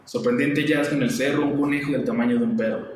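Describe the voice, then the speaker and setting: Mexican guy telling a creepy story slow and dramatic, super echoey room, faint hiss. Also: mid-range voice, steady tone. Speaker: guest storyteller. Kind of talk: reading a scary story aloud. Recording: echoey room, mic far off.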